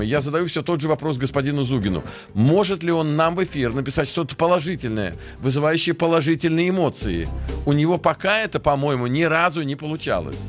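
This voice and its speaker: A man hosting a radio show, reading and answering listener messages in a deliberate, admonishing, haltingly phrased way. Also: on the high side. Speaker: radio host. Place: radio studio.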